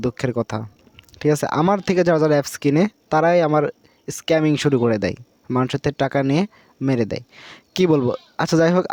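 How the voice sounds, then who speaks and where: A man's voice: on the high side, a little mellow, no echo. A man with a Bangladeshi accent hosting a casual, unscripted tutorial, repeating himself and often checking in with short tag questions. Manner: repetitive, frequently seeking confirmation with tag questions. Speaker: tutorial video host.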